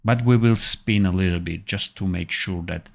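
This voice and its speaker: Low gravelly man from Armenia, slow halting livestream tutorial, keyboard clicking. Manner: thinking aloud, halting with fillers and self-corrections. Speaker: animation tutor. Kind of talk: live-streamed software tutorial.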